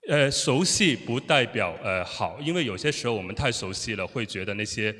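A man relays a sermon in short chunks, his voice bright and forward. He fills the gaps with frequent hesitations as he catches each phrase. The church hall gives his voice a long echo. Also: lowish voice, animated, brisk. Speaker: interpreter. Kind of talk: consecutive sermon interpretation. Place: church sanctuary.

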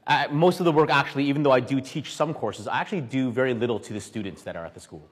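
A man from Canada preaching in a church hall, pausing phrase by phrase for an interpreter. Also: mid-range voice. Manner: halting, stops often for interpretation. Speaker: guest preacher. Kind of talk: sermon with live interpreter. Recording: echoey hall.

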